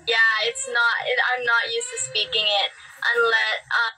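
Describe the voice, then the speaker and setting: A young woman from Canada hosting a live video chat, upbeat and quick, often trailing off and restarting her sentences. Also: fairly high pitch, flat delivery, sharp tone. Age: young adult. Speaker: host of a live stream. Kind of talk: casual chat. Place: indoor room, live stream video call. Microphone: phone video call. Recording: distant stream audio, faint music.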